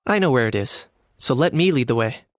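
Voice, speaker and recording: An actor voicing a calm young man who speaks in a dry, casual, offhand way, in video game dialogue. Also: mid-range voice, fairly quick, slight background noise, natural voice.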